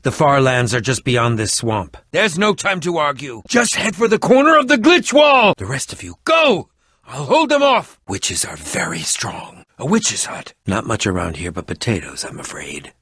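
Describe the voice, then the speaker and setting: An actor playing an eccentric middle-aged schemer in a video game, with a dramatic, haughty and defensive manner. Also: male voice, medium pitch, huge pitch swings, normal speed, fairly bright tone, breathy edge, no echo, studio quality, heavily acted. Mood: agitated, dramatic, then ominous, hushed. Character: eccentric theatrical middle-aged male potion-brewing schemer. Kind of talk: video game character dialogue.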